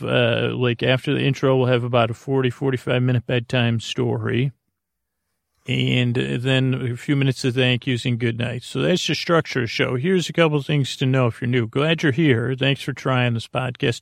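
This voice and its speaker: A man with a creaky voice hosting a bedtime podcast, meandering haltingly through tangents with lots of drawn-out uhs. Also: medium pitch, dry recording, studio quality. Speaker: podcast host. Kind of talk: rambling bedtime sleep podcast monologue.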